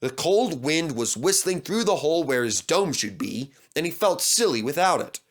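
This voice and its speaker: Male storyteller, lively swinging intonation, slightly high, children's book narration. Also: normal speed.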